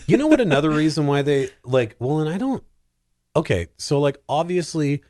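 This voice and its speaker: A man chatting casually about films as a podcast guest, animated, opinionated and colloquial in his talk. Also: fairly high pitch, normal speed, dry recording, clean studio sound. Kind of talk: casual film discussion.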